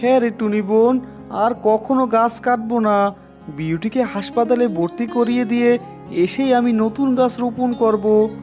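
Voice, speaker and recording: A woman's voice: on the high side, fairly even intonation, unhurried, darkish tone, soft background music, lightly acted. A woman voicing an earnest, apologetic pigeon in a children's cartoon. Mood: remorseful. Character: remorseful pigeon, earnest and promising.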